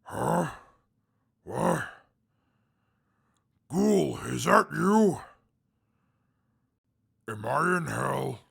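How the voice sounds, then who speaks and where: A man's voice: fairly high pitch, very animated, extremely slow, creaky, pauses often, a bit bright, breathy edge, full character voice. A man playing a wounded super mutant in an audio drama, panicked and indignant, with oddly formal wording. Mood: dazed, dry.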